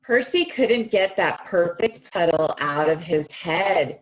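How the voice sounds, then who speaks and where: A woman reading a picture book aloud to children, expressive and stretching key words, often stopping to ask them questions directly, on a slightly dull lo-fi recording. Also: lowish voice, takes it slowly. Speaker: speech-language pathologist reading to children. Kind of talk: animated children's read-aloud with questions to listeners.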